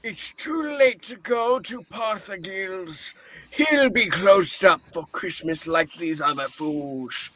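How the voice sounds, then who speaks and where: A man's voice: high-pitched, slow, fairly bright tone. A man playing a grumpy, miserly old businessman, curt and scornful, in a theatrical radio play reading. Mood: grudging, sour.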